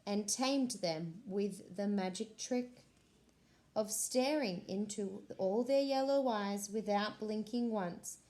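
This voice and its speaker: A woman, a school librarian, reading a picture book aloud to children in a slow, expressive voice. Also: mid-range voice.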